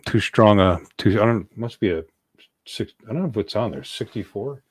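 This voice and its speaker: A middle-aged man chatting as a livestream host, with a very lively, swooping delivery. He rambles playfully and jokes around casually, and the sound is close and dry. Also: fairly low pitch, moderate pace, breathy edge, no room sound. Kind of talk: casual joking livestream chat.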